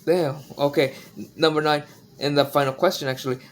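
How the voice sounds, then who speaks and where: A man's voice: a little high, fairly even intonation, a little fast. A young American man from California, conducting a casual interview and reading out prepared questions. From California, United States. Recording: clear background noise, amateur audio log.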